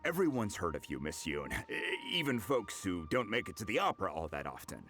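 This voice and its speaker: An actor playing a humble, friendly blacksmith in a video game, with a folksy, hesitant way of talking. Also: male voice, sits low, big pitch swings, brisk, fairly bright tone. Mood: flustered, sheepish. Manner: hesitant, folksy, chuckling, colloquial. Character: humble, friendly male blacksmith, a bit slow to catch on.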